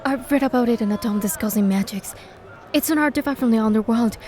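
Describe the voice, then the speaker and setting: A woman voicing a bold, curious young heroine, with expressive storytelling delivery in a fantasy game. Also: fairly high pitch, quick pace, faint music behind, natural voice. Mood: confident, curious. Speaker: fan dub voice actor and narrator. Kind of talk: scripted first-person fantasy game narration and dialogue.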